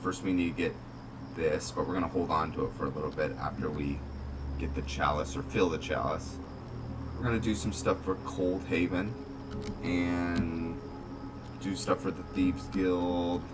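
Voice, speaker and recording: A man giving casual commentary on a roleplay game, talking in a measured, halting way. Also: low-pitched, steady tone. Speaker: gaming video host. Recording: distant mic under loud music. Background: loud game music.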